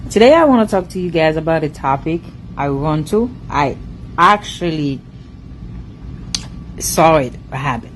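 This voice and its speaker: A woman's voice: sits low, expressive, a little slow, pauses often, a little hiss or hum, low-quality recording. A woman who immigrated to the US, speaking English with an accent, giving an emphatic, repetitive commentary straight to her viewers. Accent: foreign-accented English. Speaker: social media commentator. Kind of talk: casual monologue to viewers.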